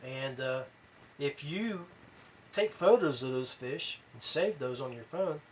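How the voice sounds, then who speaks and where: A man hosting a podcast, talking casually and haltingly to his viewers, rambling along with pauses and repeats. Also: mid-range voice, lively intonation, a little hiss or hum, low-quality recording. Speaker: podcast host. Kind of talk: casual rambling talk to viewers about tournament fishing.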